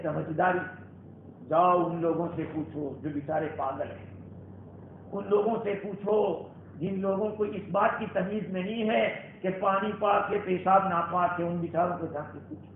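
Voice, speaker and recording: High-voiced male preacher, heavy echo. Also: phone-quality sound. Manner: exhortative, rhetorical questions, swelling and dropping emphasis. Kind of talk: Friday sermon. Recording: band-limited, very echoey hall, faint noise.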